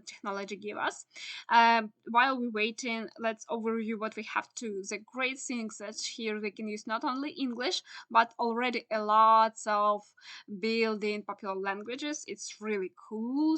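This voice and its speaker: A young woman with a Ukrainian accent, a native Ukrainian speaker, walking through a software tutorial in halting English full of "uh"s. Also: fairly high pitch. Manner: many filler "uh"s, halting non-native phrasing. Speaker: SEO instructor. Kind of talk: screen-share tutorial walkthrough.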